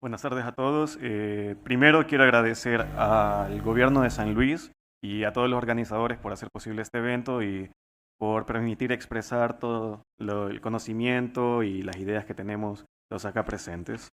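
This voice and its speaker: A man's voice: on the low side, steady tone, moderate pace, a bit bright, a little hiss or hum. A man in his twenties from El Salvador giving a formal talk at a youth summit, earnest, with frequent fillers and restarts. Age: 20s.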